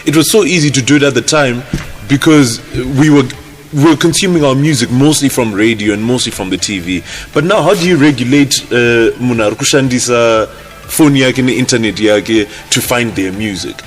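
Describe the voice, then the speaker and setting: A man, hosting a radio talk show and thinking aloud in a relaxed, conversational way with frequent restarts. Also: medium pitch, fairly quick, faint music behind. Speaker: radio host. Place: radio studio.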